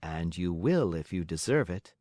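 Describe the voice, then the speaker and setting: A man voicing a kindly, motherly fairy woman in a storybook, speaking in a gentle, measured, teasing way. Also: low-pitched, big pitch swings, no echo, clean studio sound. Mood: instructive. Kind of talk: audiobook character acting.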